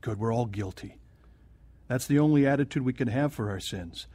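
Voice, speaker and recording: An actor playing a kindly, earnest pastor counseling from scripture in a radio drama. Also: male voice, medium pitch, normal speed, warm tone, husky, lightly acted. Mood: reassuring, earnest.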